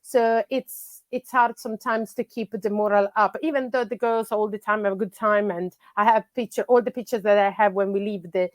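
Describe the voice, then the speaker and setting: A woman's voice: medium pitch, steady tone, a little fast, no echo. Italian woman with a dry close sound. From Italy. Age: middle-aged. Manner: hesitant, many fillers and restarts. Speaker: soccer coach. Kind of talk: podcast interview.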